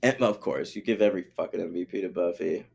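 A lower-voiced man in relaxed podcast chat, swearing freely and dropping show trivia. He sounds easygoing, with a low voice and a plain conversational delivery. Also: quick pace, vocal fry, slightly rough. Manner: laid-back, profane, trivia-minded. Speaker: podcast co-host. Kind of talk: casual episode recap chat.

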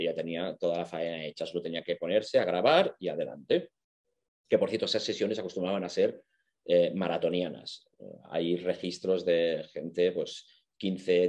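Low-pitched Spanish man lecturing informally. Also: darkish tone. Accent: Spain. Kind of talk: informal explanatory lecture with slides.

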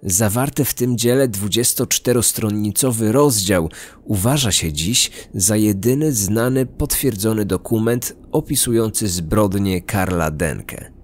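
A man narrating a scripted true-crime story in a measured, serious way. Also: on the low side, a little mellow. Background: background music.

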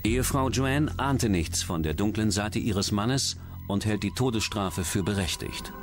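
A man's voice: fairly low pitch, measured pace, close to an everyday voice. A man reading scripted true-crime documentary narration as the narrator. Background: background music.

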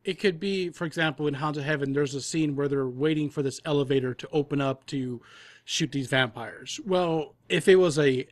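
Male panel guest, fairly high voice, dry close sound, explanatory and conversational. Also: normal speed, dry recording. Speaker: audio drama producer, panel guest. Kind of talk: casual discussion in an interview.

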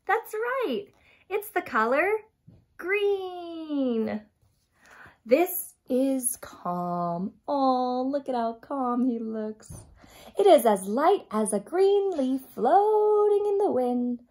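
High-pitched, animated woman reading to children. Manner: expressive, asks questions and addresses young listeners directly; dramatic gasps and emphatic, drawn-out key words. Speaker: storyteller reading to young children. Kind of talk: animated children's picture book read-aloud. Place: small furnished room at home, indoors.

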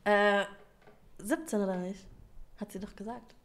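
A woman's voice: mid-range voice, unhurried. A woman co-hosting a livestream, teasing and competitive in casual chat. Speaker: co-streamer.

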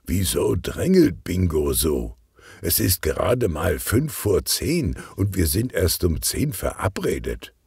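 A man acts out a jovial older police detective from a children's audiobook. The voice is husky and lively, good-humoured and a little bumbling. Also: deep voice, takes it slowly, dry recording, studio quality, full character voice.

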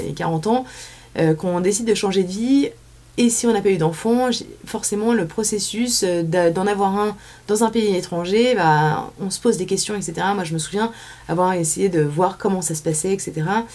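A woman's voice: on the low side, moderate pace, a little mellow. A woman in her thirties from France with a French accent, chatting casually as an interview guest, full of fillers and restarts. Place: small studio set, indoors.

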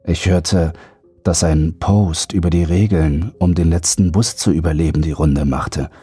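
A man's voice: steady tone, unhurried, soft background music. A man reading a scripted first-person horror story as an audiobook narrator. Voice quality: deep, husky, mellow.

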